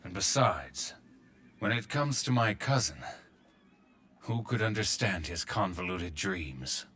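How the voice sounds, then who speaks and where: Stern, bright-voiced warrior general. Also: male voice, low voice, animated, slow pace, a little hiss or hum, full character voice. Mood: grave, measured. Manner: grave, terse, blunt. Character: stern, resolute male ancient Chinese warrior general. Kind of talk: video game voice acting.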